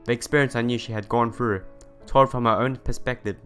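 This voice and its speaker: A man hosting a let's play, reading visual novel narration aloud in an expressive storytelling manner. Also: medium pitch, fairly quick. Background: background music.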